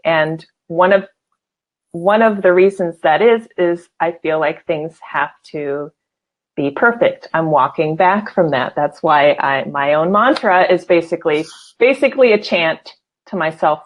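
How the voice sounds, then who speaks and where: A woman's voice: on the low side, lo-fi sound. A woman in her forties, a show host, interviewing a guest in an enthusiastic, effusive way, often restarting her sentences. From California. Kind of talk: casual interview conversation.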